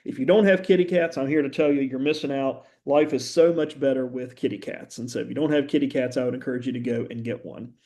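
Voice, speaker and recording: Man, middle-aged professor, from West Virginia, mellow tone, earnest chatty lecture, "uh" pauses, slight stammer. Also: medium pitch, fairly even intonation. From West Virginia, USA. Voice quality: occasional stammer. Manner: conversational and earnest, with frequent "uh" pauses. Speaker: university professor. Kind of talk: recorded introductory lecture.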